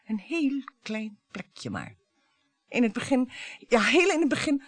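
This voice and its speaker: A woman's voice: fairly high pitch, wildly swooping pitch, measured pace, no echo, full character voice. An actress playing a bitter, mocking wife in her fifties in a radio play, with a theatrical way of talking. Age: 50s. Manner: theatrical, mocking, swinging between coaxing and scorn. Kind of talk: radio drama acting.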